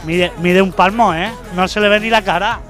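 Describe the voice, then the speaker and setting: A man's voice: sits high, steady tone, normal speed, fairly bright tone, faint music behind. A man, a beach reporter doing playful mock-official interviews outdoors with a handheld mic. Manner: playful fake-official scolding. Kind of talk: mock-serious street interviews.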